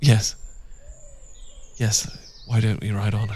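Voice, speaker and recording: A British man playing a tender, devoted Regency gentleman husband in an intimate ASMR roleplay, speaking gently and a little hesitantly in formal period phrasing. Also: on the low side, flat delivery, slow, noisy background. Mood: tender, affectionate. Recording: outdoor birdsong ambience behind voice. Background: birdsong and outdoor ambience.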